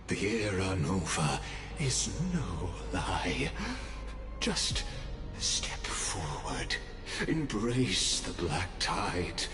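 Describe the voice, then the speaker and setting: An actor playing a grandiose, cold game villain who preaches destruction in a drawn-out, coolly mocking theatrical style. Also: male voice, lowish voice, exaggerated voice. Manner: theatrical, drawn-out dramatic delivery. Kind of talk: video game story voice acting. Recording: echoey game audio under music.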